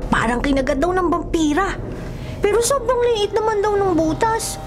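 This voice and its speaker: Very high-pitched gossipy boy. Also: normal speed, background noise. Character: gossipy, skeptical young boy, the best friend. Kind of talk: radio drama acting.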